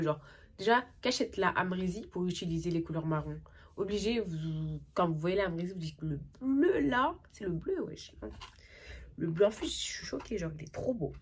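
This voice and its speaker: A young woman with a cold, chatting excitedly and casually through a makeup tutorial, with lots of slang and trailing sentences. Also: fairly low pitch, moderate pace, slightly rough. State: has a cold. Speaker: makeup vlogger.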